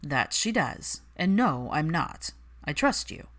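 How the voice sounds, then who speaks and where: A woman voicing a composed, wry, probing man of an old wizard family, with a smooth, measured manner in audiobook dialogue. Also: low-pitched, very animated, a bit bright, exaggerated voice. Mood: measured, serious.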